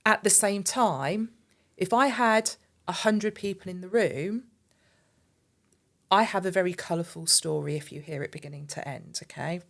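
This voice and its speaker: Woman, podcast guest, earnest, emphatic, slightly low voice, repeats words when starting. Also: normal speed, vocal fry. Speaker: podcast guest, marketing agency director. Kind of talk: casual podcast conversation.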